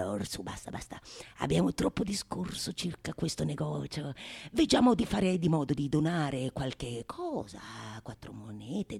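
Woman acting a male charlatan sorcerer, scheming, impatient, creaky voice, animated, theatrical comedy. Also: a bass voice, full character voice. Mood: conspiratorial. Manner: rapid, emphatic, explaining impatiently. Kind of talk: theatrical monologue of Renaissance comedy.

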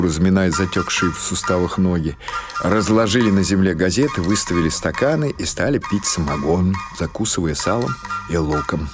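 A man narrates a piece of literary fiction in a low-pitched voice. His delivery is scripted, measured and expressive, in the manner of an audiobook storyteller. Faint music plays behind him.

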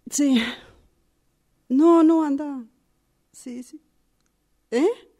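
A woman acts out a wounded, resentful Argentine girlfriend in a radio play phone call. Her voice is high and her delivery slow, in a Rioplatense accent. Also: warm tone. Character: hurt, resentful, pregnant woman on phone with unfaithful partner. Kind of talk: radio drama phone monologue.

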